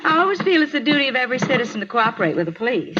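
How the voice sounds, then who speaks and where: Cheap-hotel manager, American, talkative and self-righteous, pushy, rather high pitch, muffled vintage radio play sound. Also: female voice, very animated, very fast. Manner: insistent, folksy, talkative. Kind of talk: 1950s radio drama acting. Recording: dull, noisy 1950s radio recording.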